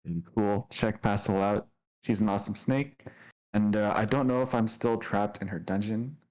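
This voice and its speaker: A man hosting and narrating a tabletop roleplaying game. His voice sits a little low, and he talks in an upbeat, descriptive way, laughing easily with his players. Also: fairly even intonation, normal speed, darkish tone, poor audio. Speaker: dungeon master.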